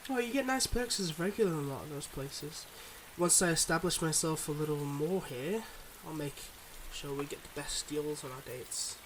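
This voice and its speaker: A man playing a suave, secretive mob boss boyfriend in an intimate ASMR roleplay, talking smoothly and teasingly to the listener. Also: fairly high pitch, moderate pace, few pauses, a bit bright, slight background noise, close to an everyday voice, low-quality recording. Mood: charming, relaxed.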